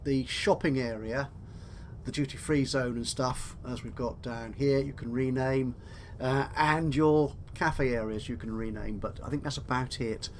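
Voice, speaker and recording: Male gaming host, mid-pitched, chatty, talks to himself, faint noise behind. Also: steady tone, a little slow. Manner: chatty, thinking aloud, talking to himself. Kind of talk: casual let's play commentary.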